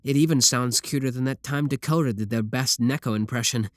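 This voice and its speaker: Man as calm, obsessive yandere brother, close and dry. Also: medium pitch, a little fast, no echo, close to an everyday voice. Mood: adoring. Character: obsessive, adoring yandere sibling kidnapper, calmly supportive. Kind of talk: scripted ASMR roleplay addressed to the listener.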